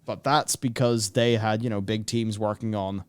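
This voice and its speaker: A man's voice: fairly low pitch, fairly even intonation, normal speed, clean tone. A man hosting a casual gaming discussion, reading and answering viewer comments in a rambling way as he thinks aloud.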